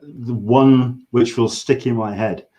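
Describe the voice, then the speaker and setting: Male host, English accent, mid-range voice, casual conversational interviewing with a halting, think-aloud delivery. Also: mid-range voice, steady tone, warm tone. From England.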